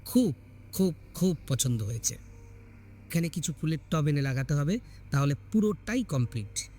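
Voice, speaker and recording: A man's voice: a little high, animated, normal speed, dark tone, faint music behind. A man voicing an affectionate, sentimental young wife in a narrated horror story. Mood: delighted.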